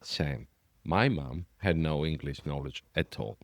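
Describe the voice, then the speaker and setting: A man speaks in a very low voice, slow and halting, often restarting his sentences. He is a guest in a relaxed podcast conversation. The recording is close and dry. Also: no echo. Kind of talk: casual chat.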